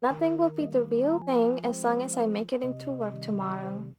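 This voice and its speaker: A woman reading a visual novel script aloud in an expressive, dramatic way over game music. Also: on the high side, moderate pace.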